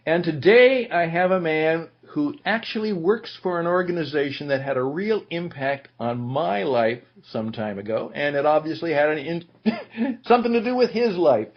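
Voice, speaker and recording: Chatty guy hosting a podcast, kinda raspy, bouncy pitch, muffled recording. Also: moderate pace. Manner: chatty, self-deprecating, animated. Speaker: podcast host. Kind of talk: conversational interview hosting. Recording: muffled lo-fi sound.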